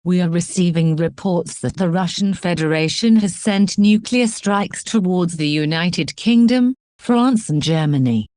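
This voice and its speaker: A woman reading a scripted emergency broadcast as the announcer, in a formal, measured manner. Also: fairly low pitch, darkish tone, dry recording, studio quality.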